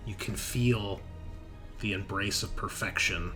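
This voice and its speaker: A man's voice: on the low side, unhurried. A man running a tabletop horror game as game master, narrating with dramatic suspense. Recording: music behind the voice. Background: background music.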